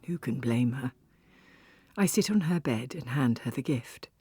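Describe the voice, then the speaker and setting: Audiobook lead: a wry, weary ex-police cafe owner, low-pitched and breathy, conversational first-person storytelling in a dry, close recording. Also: female voice, unhurried, no echo, soft background music, exaggerated voice. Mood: wry, reflective. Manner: expressive, conversational, storytelling with hushed asides. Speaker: audiobook narrator.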